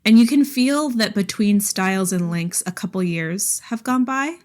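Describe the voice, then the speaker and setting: A woman's voice: medium pitch, normal speed, a little mellow. A woman co-hosting a podcast, discussing books in a casual, conversational way. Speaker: podcast co-host.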